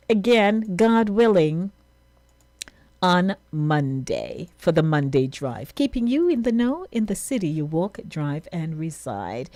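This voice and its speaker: A woman's voice: mid-range voice, a little mellow, no room sound. A woman with a Jamaican accent hosting a radio show, chatting casually and slipping into patois as she signs off. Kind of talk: casual on-air sign-off.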